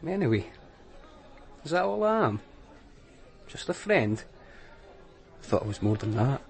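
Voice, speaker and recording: A man's voice: mid-range voice, very animated, a little slow, creaky. Easygoing policeman off duty. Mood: teasing, relaxed. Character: off-duty male police officer courting his girlfriend, easygoing. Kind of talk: naturalistic radio drama dialogue.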